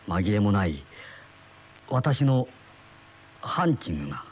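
An actor playing an uneasy middle-aged husband in a radio mystery, with brooding, dramatic storytelling. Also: male voice, on the low side, big pitch swings, slow pace. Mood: brooding, dreamy. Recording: muffled old radio drama recording, music behind.

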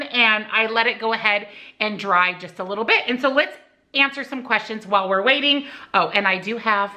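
A woman's voice: fairly high pitch, pushed and bright. A woman in her forties chatting casually at home while doing her makeup and answering viewer questions. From United States. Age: 40s. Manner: friendly and talkative while applying makeup. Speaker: YouTuber and real estate agent. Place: home kitchen indoors. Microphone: camera on a tripod close in front.

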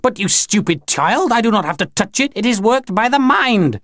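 A man voicing an imperious high-pitched old lady machine. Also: very animated, normal speed, a bit bright, no echo, studio quality. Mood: imperious, scolding. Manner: stilted, imperious, oddly phrased. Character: alien machine posing as stern old lady. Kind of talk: audiobook character voice.

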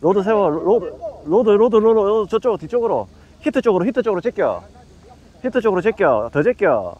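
A man on a seaside shore calls out rapid, repeated fishing instructions to a partner. His voice is fairly high and swings widely in pitch. The sound is dull and lo-fi, recorded outdoors. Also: big pitch swings. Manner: shouts quick repeated instructions. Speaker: angler coaching a fishing partner. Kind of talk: excited outdoor fishing chat.

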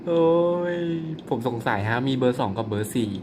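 A man chatting over his mobile game in a lively, bouncing voice that swings up and down. He's playful and teasing, full of mock-polite apologies and sudden exclamations. A clear hiss of background noise sits under his voice. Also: medium pitch, normal speed. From Thailand. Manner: exclaims and laughs often. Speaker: mobile game player and channel host. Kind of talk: casual gameplay commentary.

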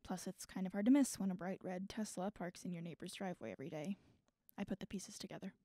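Woman playing wry guarded young adult, mellow soft-edged tone, dry recording, audio drama. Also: lowish voice, fast talker, no echo, natural voice.

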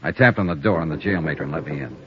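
Hard-boiled 1950s radio investigator: low, dramatically varied delivery, world-weary and clipped, dull vintage recording. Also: male voice, background noise. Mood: sober, reflective. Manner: clipped, dry, dramatic pauses. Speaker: radio actor playing the narrator. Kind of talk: 1950s radio detective narration.

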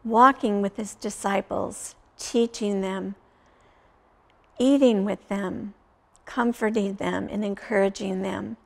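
A woman in her sixties hosting a children's story time, reading Bible stories and praying in short, deliberate phrases with frequent pauses. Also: medium pitch, vocal fry. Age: 60s. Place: small furnished indoor room, seated.

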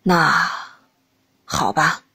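A woman voicing a cautious old bearded grand tutor at court, deliberate and hesitant, in an audiobook. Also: bright, forceful tone. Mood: pondering.

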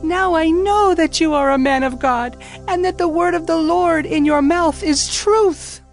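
Solemn, emotional ancient widow for audio Bible drama, very high pitch. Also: female voice, unhurried, vocal fry, heavily acted. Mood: awed relief. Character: grieving widowed mother of ancient Israel. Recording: music under the voice.

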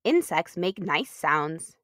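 A woman's voice: on the low side, very animated, slow, fairly bright tone, no room sound, studio quality. A woman hosting a children's read-aloud, talking to young listeners in simple, explanatory language.

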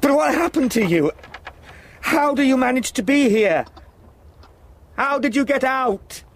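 Frightened young solicitor, high voice, slow and halting, stammering, radio play. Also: male voice, animated, heavily acted. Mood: agitated, questioning. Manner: hesitant, stammering, questioning. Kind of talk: radio drama acting.

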